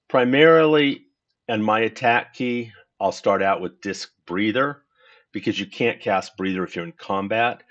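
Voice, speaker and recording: A man with a fairly low voice explains his setup for an online game at an unhurried pace. He often stops to correct himself mid-sentence. The recording sounds dull and lo-fi, as if made on a basic desktop mic. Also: a bit bright. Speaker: gaming tutorial host. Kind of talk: casual screen-recorded game walkthrough.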